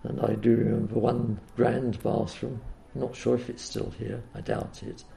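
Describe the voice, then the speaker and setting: Old guy, croaky gravelly voice, slow, lots of uhs and ums, mellow tone. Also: mid-range voice, little pitch movement, a little hiss or hum. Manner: hesitant, full of uhs and ums. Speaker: interviewee. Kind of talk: oral history reminiscence.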